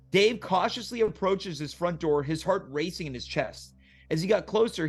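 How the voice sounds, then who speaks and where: A man narrating stage directions and action from a movie script at a comedic table read. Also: on the high side.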